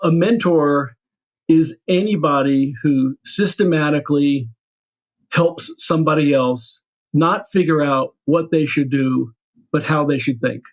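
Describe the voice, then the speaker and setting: Assertive man with a higher voice. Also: a little slow, low-quality recording. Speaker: guest. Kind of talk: explanatory interview answer.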